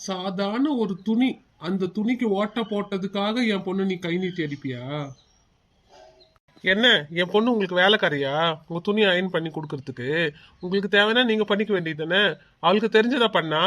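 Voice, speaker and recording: A man's voice: sits high, brisk. A man playing a stern, angry cartoon father. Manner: shouting and scolding.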